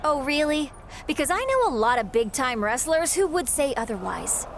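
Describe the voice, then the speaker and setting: A woman's voice: high-pitched, sing-song, moderate pace, a little hiss or hum. An actress playing an eager, boastful young female wrestler in a video game cutscene, chatty and upbeat with a defiant edge.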